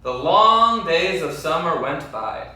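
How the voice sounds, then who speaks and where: A man reading a children's story aloud expressively, with deliberate, dramatic phrasing and questions to his listeners. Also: fairly high pitch, expressive, bright, forceful tone. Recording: very echoey room, distant mic.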